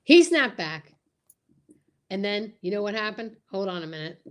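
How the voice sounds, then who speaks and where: A woman's voice: medium pitch, very animated, normal speed, voice pushed down, natural voice. Woman playing a blustering, swooping, cowardly comic sidekick. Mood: flustered stalling. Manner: blustering, stammering, stalling delivery. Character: cowardly, stalling male comic sidekick Costello. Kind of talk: old-time radio comedy sketch.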